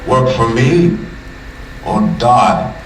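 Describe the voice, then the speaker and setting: An actor playing an imperious, menacing middle-aged tycoon in a video game, speaking in a measured, pompous, coldly formal way. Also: male voice, medium pitch, lively intonation, slight background noise. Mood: menacing bargaining. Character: imperious, menacing male tycoon who rules a city. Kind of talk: dramatic video game villain speech. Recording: distant, echoing voice under music. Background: music.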